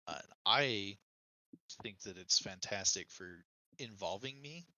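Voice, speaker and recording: A man's voice: fairly low pitch, animated, unhurried, sharp tone, no room sound, poor audio. A man speaking as a podcast guest, casually and reflectively explaining his own preferences in video games.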